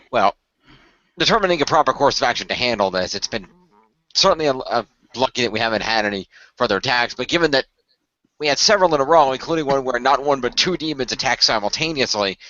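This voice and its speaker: Male squad leader for radio drama man acting, formal and hesitant, medium pitch, crisp edge, slightly dull close recording. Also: expressive, a little fast, pushed and bright, dry recording. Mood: grave, measured. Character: measured, formal male team leader briefing young demon-fighting heroes. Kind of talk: amateur radio play role-play.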